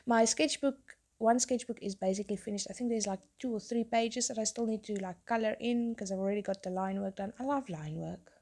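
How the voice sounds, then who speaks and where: A young woman, a tattoo artist, chatting in a rambling way while she draws, her voice hoarse from being sick. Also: medium pitch, fairly quick, few pauses, a little mellow. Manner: rambling, chatty, self-interrupting. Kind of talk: casual chat while drawing.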